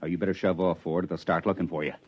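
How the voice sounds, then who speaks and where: An actor playing a blunt, rough-hewn man from Venus with a folksy rustic drawl, in an old radio drama. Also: low-pitched, level tone, very fast. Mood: folksy, gruff. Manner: teasing, calls the boy tadpole. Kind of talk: 1950s radio drama acting. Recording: muffled 1950s radio broadcast.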